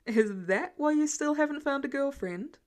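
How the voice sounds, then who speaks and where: A woman's voice: high-pitched, expressive, normal speed, a little mellow. A smug, protective rich teenage boy from a storybook, acted out by a woman narrator. He talks with teasing self-assurance.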